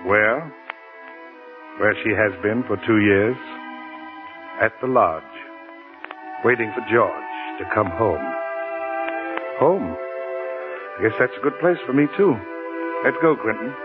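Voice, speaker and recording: An actor playing a reflective defense lawyer recounting a case in measured, wistful 1940s radio drama dialogue. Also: male voice, on the low side, expressive. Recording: narrowband 1940s radio recording with music.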